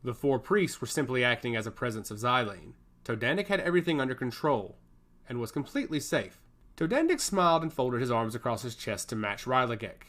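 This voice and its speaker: A man's voice: mid-range voice, animated, brisk, a bit bright. A man narrating a scripted fantasy story in a dramatic storytelling style.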